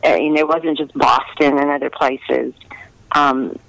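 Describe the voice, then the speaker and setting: A woman's voice: rumbling low, fairly even intonation, measured pace, creaky, a bit bright, no room sound, rough recording. A middle-aged American woman, a novelist, speaking earnestly and reflectively in a conversational interview. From United States. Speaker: historical romance novelist being interviewed.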